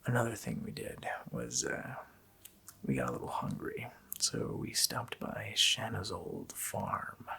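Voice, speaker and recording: A man voicing a sadistic, joking Christmas demon in a gravelly, whispered ASMR roleplay, with a slow, mockingly playful menace. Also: on the low side, big pitch swings, pushed and bright, full character voice. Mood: sinister, scornful. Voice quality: gravelly whisper with creaky growl.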